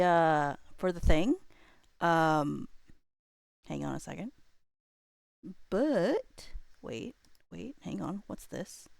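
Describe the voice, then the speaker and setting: A woman's voice: fairly low pitch, clear, resonant tone, no room sound, studio quality. A woman livestream host chatting casually, rambling and thinking aloud in an informal way.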